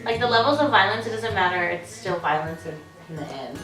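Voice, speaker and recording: A woman's voice: medium pitch, lively intonation, fast, reverberant, far from the mic, over music, rough recording. A young woman speaking casually and earnestly, thinking aloud and peppering her speech with "like". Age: young adult. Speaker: student speaker.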